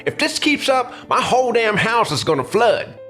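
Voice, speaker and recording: An irate tenant, acted out by a performer in a manga dub, with a mid-pitched voice that swings widely as they complain sarcastically. Music plays behind the confrontational, salty delivery. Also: very animated, normal speed, a bit bright. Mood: angry. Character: angry, foul-mouthed tenant complaining of flooding. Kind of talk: scripted manga dub acting.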